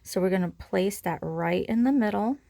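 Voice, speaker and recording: A woman's voice: medium pitch, normal speed, gravelly, warm tone, no echo. A woman giving a casual step-by-step craft demonstration, informally talking through each action as she works. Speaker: craft tutorial instructor.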